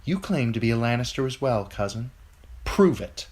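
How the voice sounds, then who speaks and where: A man's voice: mid-range voice, sing-song, moderate pace, a little mellow, husky, voice pushed up. A man voicing a cold, imperious queen who gives curt orders. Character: cold commanding queen, grown woman.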